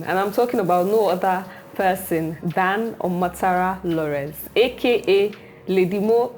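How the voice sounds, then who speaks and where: A woman's voice: on the low side, a little mellow. A woman hosting an interview show, bubbly and teasing. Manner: bubbly, teasing, laughs often. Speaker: host.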